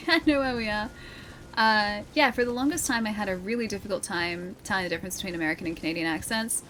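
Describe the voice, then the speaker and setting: Bright-toned Australian woman gaming streamer. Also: medium pitch. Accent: mild Australian. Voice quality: slightly nasal. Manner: chatty, thinks aloud, laughs often. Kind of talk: casual gameplay commentary.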